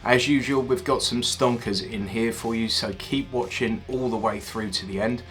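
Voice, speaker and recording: A man's voice: mid-range voice, fairly even intonation, moderate pace, fairly bright tone, faint music behind. A British man hosting a car leasing channel, chatting casually and reeling off prices and specs while slightly stuffed up from a cold. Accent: British English. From United Kingdom. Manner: chatty, informal, reels off figures. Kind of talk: casual review monologue.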